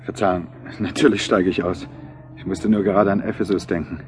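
A man's voice: deep voice, steady tone, fairly quick, soft background music, lightly acted. A man playing a devout, probing writer riding in a taxi in a radio play, terse and earnest. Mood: preoccupied, earnest. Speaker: actor in an audio drama. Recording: noisy, with car and traffic sounds. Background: car engine and street ambience.

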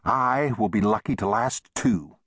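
A man's voice: lively intonation, measured pace, fairly bright tone, no room sound, studio quality. Man playing a touchy, indignant small-town storekeeper. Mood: gloomy. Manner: heated, complaining, raised pitch.

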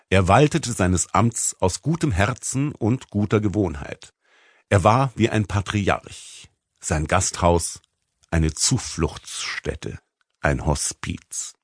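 Deep male audiobook narrator, formal and measured, super dry studio sound. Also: dry recording. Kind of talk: scripted literary narration, audiobook reading.

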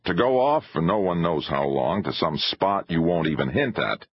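A man acts out a wry Broadway agent in an audiobook adventure. He argues cautiously and reluctantly in a husky voice with plenty of rise and fall. The recording is a little dull. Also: deep voice, animated, normal speed, no echo, heavily acted. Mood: wry, doubting. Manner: grunting, reluctant, reasoning. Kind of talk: dramatised audiobook dialogue.

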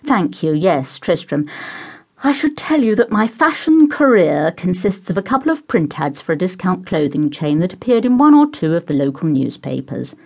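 Sullen, clipped young son, slow and stony, low husky tone, read by a woman narrator. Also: big pitch swings, heavily acted. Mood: surly. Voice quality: surly, clipped, through gritted teeth. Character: surly irritable grown son, young man.

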